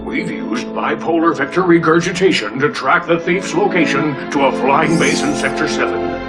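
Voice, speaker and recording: Man playing a high-voiced, pompous, excitable company boss. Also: normal speed, lightly acted. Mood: pompous briefing. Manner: grandiloquent, bombastic, full of malapropisms. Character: pompous, excitable male company founder mangling big words. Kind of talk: animated video game cutscene acting. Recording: loud music behind the voice.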